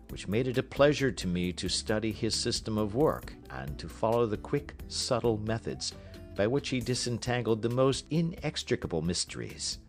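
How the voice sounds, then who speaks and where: A man reading a literary story as an audiobook narrator, in a measured, formal storytelling manner. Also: lowish voice. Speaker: audiobook reader.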